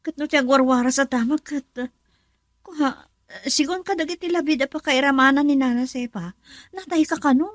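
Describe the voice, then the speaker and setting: A woman plays an anxious, caring woman in a radio drama. Her voice is fairly high and emotive, with an Ilocano Filipino accent, recorded close and dry. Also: fairly quick, dry recording, close to an everyday voice. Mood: worried.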